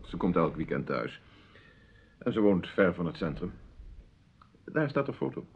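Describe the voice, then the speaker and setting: A man's voice: sits low, big pitch swings, unhurried, gravelly, husky, heavily acted. A man playing a genial middle-aged husband and father in a naturalistic radio play, chatting politely and inquisitively. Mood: polite, casual. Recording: dull, lo-fi radio play recording.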